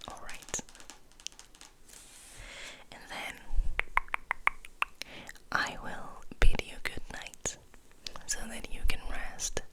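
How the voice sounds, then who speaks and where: A woman's voice: very slow, pauses often. Whispering woman, intimate ASMR reading. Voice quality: breathy unvoiced whisper. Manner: intimate, soothing, repeats words softly. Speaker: ASMR host reading poetry aloud.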